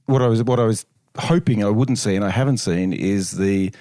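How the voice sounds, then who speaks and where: An Australian-accented man answering interview questions casually, with measured, hesitant phrasing and dry humour. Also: fairly low pitch, warm tone, no room sound. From Melbourne, Australia. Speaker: interview guest, chiropractor and researcher.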